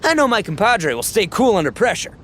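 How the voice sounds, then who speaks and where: A man playing a brash, excitable young oni gang boss in an anime game, with a bombastic, melodramatic delivery. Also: high voice, a little fast, a little hiss or hum. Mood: cocky. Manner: bombastic, melodramatic, stammering when flustered.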